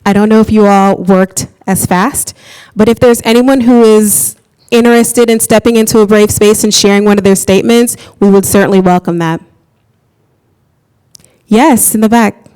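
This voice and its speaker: A woman's voice: medium pitch, fairly even intonation, moderate pace, darkish tone, smooth, low-quality recording. A woman co-facilitating a live session, addressing the audience in a polite, inviting way. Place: in-person conference session room.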